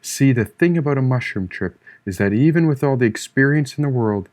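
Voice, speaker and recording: A man's voice: mid-range voice, expressive, fairly quick, a little mellow, lightly acted. A man voicing an easygoing, encouraging woman who is a seasoned mushroom tripper, casual and reassuring, in an audiobook. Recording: echoey room, distant mic.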